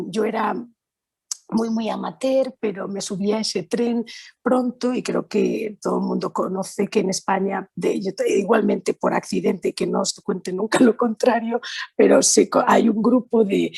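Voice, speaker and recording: A middle-aged woman with a Spanish accent from Spain speaks informally to a conference. Her voice is heavily fried and husky, captured with a dry, close sound. She searches for her wording, often restarting and correcting herself as she goes. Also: medium pitch, unhurried, darkish tone, no echo. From Valencia, Spain. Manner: hesitant, searching phrasing with self-corrections. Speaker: human rights lawyer, panel speaker.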